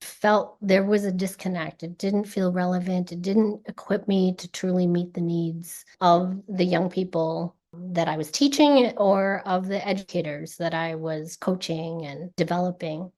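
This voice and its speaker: A woman in her forties, an educator and founder, giving a thoughtful, earnest interview answer in a measured way. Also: on the low side, steady tone, darkish tone. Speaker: guest, ethnic studies educator and founder.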